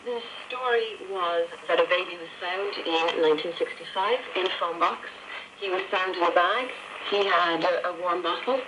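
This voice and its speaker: A woman's voice: lowish voice, moderate pace. A middle-aged woman recounting her personal story as a radio interview guest, heard over shortwave. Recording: noisy, crackly shortwave radio reception.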